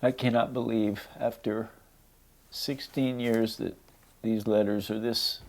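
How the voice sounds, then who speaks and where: A man's voice: lowish voice, little pitch movement, takes it slowly. A middle-aged man, a son reading a personal letter aloud and reflecting on it.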